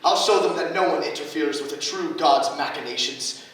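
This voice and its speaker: An actor voicing a vain villain turned into a tree, monologuing grandly and theatrically in a staged play reading. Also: male voice, on the high side, moderate pace, a bit bright, natural voice. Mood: megalomaniac boasting. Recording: echoey room, far from mic.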